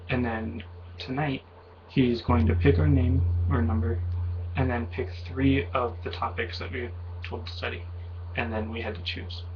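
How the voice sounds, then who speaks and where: A man's voice: mid-range voice, vocal fry. A young man of Mexican heritage talking in a rambling, hesitant way in a casual vlog, with many fillers and self-corrections. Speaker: video blogger. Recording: slightly dull audio with faint background hiss.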